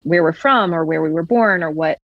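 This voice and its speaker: A woman's voice: low-pitched, brisk, dry recording, highs cut off. A young woman from West Virginia, raised in Florida, talking reflectively as a podcast guest. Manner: reflective, many "like" fillers. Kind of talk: casual conversation.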